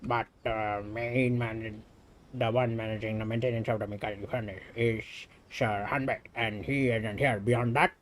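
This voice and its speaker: A man's voice: fairly low pitch, steady tone, unhurried, a little hiss or hum, voice pushed down, lightly acted. An Indian man voicing a stubborn, officious camp officer from a video game, curt and dismissive. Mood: curt, evasive. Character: stubborn, dismissive military camp officer, defensive about authority.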